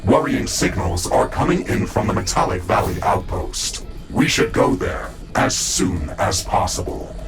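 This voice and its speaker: A man playing the AI of a mecha-suit in a video game, giving formal, measured tactical reports with a robotic processed voice. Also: deep voice, lively intonation, over music. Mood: calm, formal. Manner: measured, formal, clipped announcements. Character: male AI of a mecha-suit, calm tactical advisor. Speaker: AI companion giving mission reports. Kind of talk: scripted video game dialogue.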